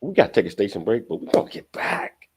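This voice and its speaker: A man's voice: lowish voice, sing-song, fast talker, a little mellow, husky. A man hosting a radio show, addressing listeners in a conversational presenting style.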